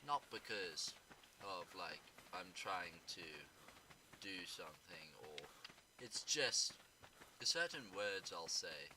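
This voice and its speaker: A teenage boy from South Africa rambles and makes sarcastic asides as he plays a game. His voice sits very low, with the odd curse dropped in. A heavy wash of noise runs under the whole recording. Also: lively intonation, takes it slowly. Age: teens. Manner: rambling, sarcastic, swears casually. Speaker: gaming YouTuber. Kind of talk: rambling casual chat while gaming.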